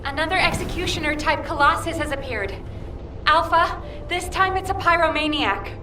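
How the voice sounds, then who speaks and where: An actress playing an efficient young operations officer in a video game, reporting formally and crisply. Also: female voice, high-pitched, expressive, moderate pace. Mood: alert, businesslike. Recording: roomy, distant, noisy ambience.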